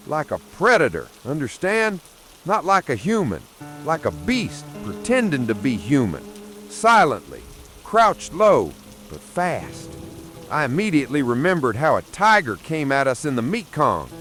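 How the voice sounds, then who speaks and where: A man's voice: a little high, fairly bright tone. A man playing a blunt, tough old Vietnam veteran grandfather telling his story in a horror radio drama. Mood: horrified. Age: older. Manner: blunt, salty military storytelling.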